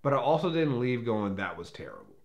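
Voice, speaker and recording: A man's voice: mid-range voice, very animated, brisk. An American man with a mid-range voice talks through a movie review without a script. He is opinionated and conversational, leaning on key words for emphasis. He often restarts a thought and circles back to put it another way. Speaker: film reviewer.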